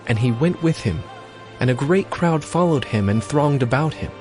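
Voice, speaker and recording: Man, audio Bible narrator, mid-pitched voice, scripted storytelling, faint music underneath. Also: animated, moderate pace, darkish tone.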